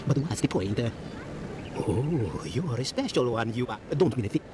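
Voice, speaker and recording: A man's voice: mid-range voice, lively intonation, moderate pace, lightly acted. A man playing a scattered, self-important alchemist in a video game, musing and hesitant in ornate phrases. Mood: musing, proud. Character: rambling eccentric male alchemist, self-important yet scattered. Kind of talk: video game character dialogue. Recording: game audio with clear ambient noise.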